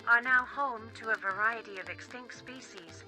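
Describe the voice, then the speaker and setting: A woman's voice: on the high side, moderate pace, pushed and bright, low-quality recording. A woman playing a polished presenter, narrating a scripted corporate orientation video in a formal way. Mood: formal, welcoming. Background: music.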